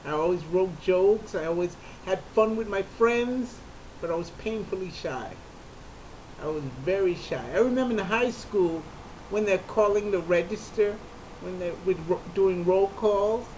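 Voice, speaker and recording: A comedian, a man with a high voice for a man, telling a personal story in an earnest, halting way with frequent restarts. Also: darkish tone, slight background noise, low-quality recording. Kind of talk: personal talk to camera.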